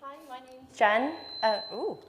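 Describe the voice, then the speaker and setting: A woman's voice: high voice, measured pace, a bit bright. A woman in her late twenties from Dubai, speaking on a conference panel and describing her own experience in an open, matter-of-fact way. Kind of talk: panel introduction and personal account.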